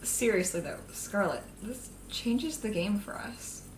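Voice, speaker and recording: A woman's voice: medium pitch, expressive, measured pace, natural voice. A young woman playing a pragmatic villain's best friend in an audio drama, matter-of-fact and insistent. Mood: persuasive, insistent. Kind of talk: naturalistic audio drama acting. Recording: echoey room with faint background noise.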